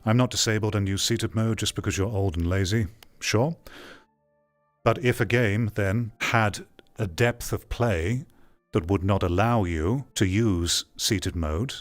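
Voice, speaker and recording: A man giving rambling, opinionated livestream commentary as he reads and answers chat. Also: sits low. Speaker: streamer.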